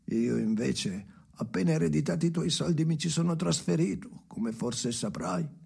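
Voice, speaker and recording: Gravelly, haunted, restless soul. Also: male voice, fairly high pitch, lively intonation, exaggerated voice. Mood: brooding, confiding. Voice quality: gravelly, creaky. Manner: theatrical, halting, obsessive, swinging from murmurs to shouts. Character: Henry, haunted, restless man talking to his dead father. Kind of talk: avant-garde radio drama monologue.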